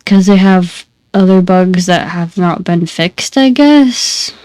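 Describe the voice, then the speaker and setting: A woman chats slowly through a gameplay session, her voice stuffy and a little congested. The tone is soft and mellow, and she rambles in easygoing asides to her viewers. The sound is close and dry. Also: lowish voice, animated, no room sound. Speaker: gaming channel host. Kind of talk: casual gameplay commentary.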